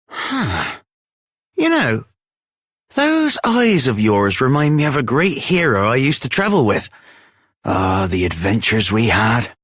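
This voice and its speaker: Animated, husky veteran armour smith. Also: male voice, medium pitch, no echo, clean studio sound, exaggerated voice. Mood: wistful, friendly. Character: kindly, excitable veteran armour smith, old comrade of a hero. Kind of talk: scripted video game voice acting.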